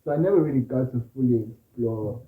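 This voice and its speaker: Guest talking slow and unsure, roomy echo, phone-like audio. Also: medium pitch. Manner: easygoing, hesitant, many fillers when explaining ideas. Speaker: podcast guest. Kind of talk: casual chat. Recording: echoey room, phone-like sound.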